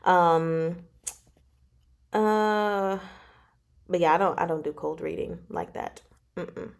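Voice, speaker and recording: A woman's voice: lowish voice, slow, clean tone. A woman hosting a casual livestream from home, chatting informally and giggly as she reads and answers viewer comments. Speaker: livestream host reading chapters aloud and chatting with viewers.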